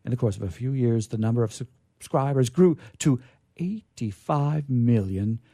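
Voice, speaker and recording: A man reads vintage science fiction aloud with a formal, oratorical air. His voice is dark and relaxed with a gravelly creak, recorded close and dry. He phrases things theatrically, pausing for emphasis and stretching key words. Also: mid-range voice, dry recording. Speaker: reader at a literature reading. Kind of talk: dramatic reading of a vintage science fiction story.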